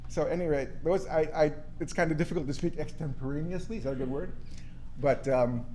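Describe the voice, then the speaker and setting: An older man speaks off the cuff at a funeral service, his mellow, darkish voice halting and full of restarts. He pauses and chuckles lightly between thoughts, over faint room noise. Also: fairly high pitch. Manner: hesitant, with restarts and light laughs. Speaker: eulogy speaker, longtime family friend. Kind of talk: unscripted memorial speech.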